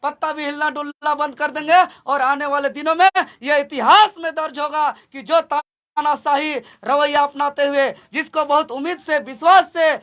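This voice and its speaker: A man's voice: very high-pitched, steady tone, normal speed, fairly bright tone. A young man with a Jharkhand accent speaking as a student movement leader, making an impassioned political statement in an emphatic, repetitive, rallying manner.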